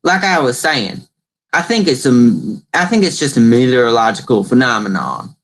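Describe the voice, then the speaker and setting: A man acts out a poor country farmer for a comic radio play. He is stubborn and bumbling, halting between stiff formality and folksy talk, with a pitch that swings widely. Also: big pitch swings, a bit bright. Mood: stubborn, indignant. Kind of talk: mock old radio play acting.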